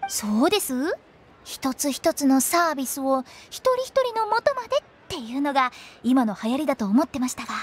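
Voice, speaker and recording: A woman's voice: high-pitched, very animated, a little fast. A woman voicing a cheeky teenage girl who runs a funeral parlor in an anime game, teasing and theatrical with a sweet salesy patter. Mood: chirpy, persuasive. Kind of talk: Japanese anime game voice acting. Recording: music under the voice. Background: game music.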